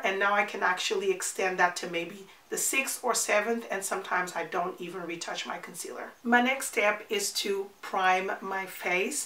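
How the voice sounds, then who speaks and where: A woman's voice: medium pitch, bright, forceful tone, reverberant. A woman in her forties with a congested, nasal voice from a cold, chatting her way through a makeup tutorial and repeating words for emphasis. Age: 40s. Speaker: beauty vlogger.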